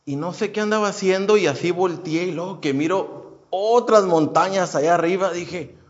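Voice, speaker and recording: A man's voice: sits high, normal speed, muffled recording. A man in his thirties from Houston, telling his personal faith testimony in a casual, hesitant way with many fillers and restarts. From Houston, United States. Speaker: churchgoer giving a testimony.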